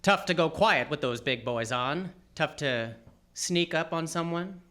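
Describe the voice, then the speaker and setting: An actor playing a wry, hardboiled private detective in an old-time radio play, with clipped noir delivery. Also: male voice, fairly high pitch, expressive, measured pace, a bit bright. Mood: probing. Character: wry, hardboiled male private detective, narrating his case. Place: live theatre stage.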